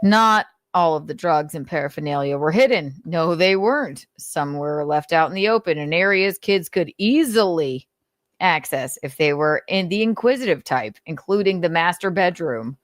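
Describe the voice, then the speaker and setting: Low-voiced, sardonic woman commentator. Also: animated, normal speed, no echo, clean studio sound. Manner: sardonic, exasperated, swears casually. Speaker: host. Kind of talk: commentary mixed with dramatic reading.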